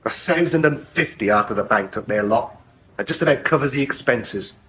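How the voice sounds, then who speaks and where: An actor playing a proud, bitter bankrupt farmer with a regional English accent in a 1980s radio soap. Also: male voice, medium pitch, animated, moderate pace, a bit bright, close to an everyday voice. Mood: bitter, dejected. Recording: faintly noisy old radio broadcast.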